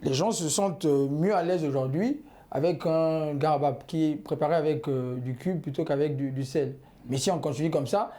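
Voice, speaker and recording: A man's voice: on the high side, dark tone. A young man making his argument as a panel guest, hesitant and full of fillers and restarts. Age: young adult. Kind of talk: panel discussion.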